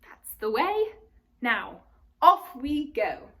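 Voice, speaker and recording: A woman's voice: high-pitched, big pitch swings, extremely slow, sharp tone, heavily acted. A woman voicing a friendly, easily flustered male faun from a storybook, speaking politely and formally with hesitant repetitions. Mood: courteous, inviting. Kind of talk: storybook character read aloud.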